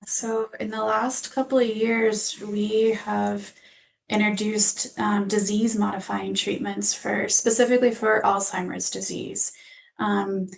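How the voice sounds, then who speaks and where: Woman explaining carefully, creaky voice. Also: mid-range voice, flat delivery, a little slow, rough recording. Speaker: physician assistant guest. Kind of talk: explanatory interview answers.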